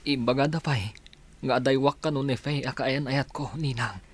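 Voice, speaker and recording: A man's voice: mid-range voice, normal speed, breathy edge, close to an everyday voice. Actor as a meek, aggrieved Filipino young man. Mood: sad, confiding. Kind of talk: Filipino radio drama acting.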